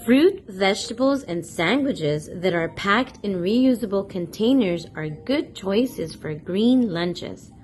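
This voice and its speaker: Slow, animated woman reading to children. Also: medium pitch, a little hiss or hum. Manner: deliberate, addresses young listeners directly with questions. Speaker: host of a children's read-aloud channel. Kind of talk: children's picture book read-aloud, storytelling to kids.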